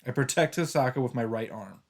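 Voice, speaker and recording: A man's voice: medium pitch, a little fast, slight background noise. A man hosting a let's play, reading visual novel text aloud in an informal, dramatic way with casual asides. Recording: game music behind voice. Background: visual novel soundtrack.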